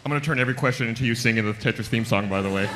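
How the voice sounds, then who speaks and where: A man's voice: mid-range voice, very fast. A man on a live panel throwing in teasing, casual banter. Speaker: panel member. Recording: loud background noise, live hall.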